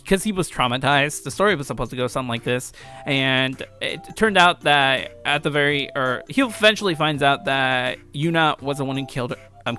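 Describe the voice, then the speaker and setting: A man's voice: medium pitch, pushed and bright. A young adult man giving casual, rambling let's play commentary as a video host, offhand and self-deprecating about his own work. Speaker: video host and amateur game creator. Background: background music.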